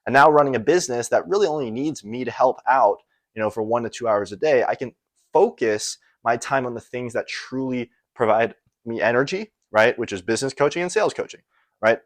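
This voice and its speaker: A man's voice: mid-range voice, fairly quick, no echo. A young American man talking casually and unscripted like a business coach, rambling a little and often checking in with the listener. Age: young adult. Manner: conversational, rambling, often tags phrases with "right?". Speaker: online business and sales coach. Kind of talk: casual unscripted motivational explainer to camera.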